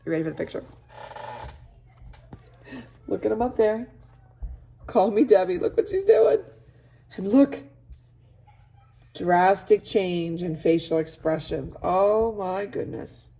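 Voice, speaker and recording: A woman's voice: medium pitch, expressive, takes it slowly, gravelly, long pauses, warm tone. A woman teacher reading aloud expressively to children, with chatty asides and questions to her listeners.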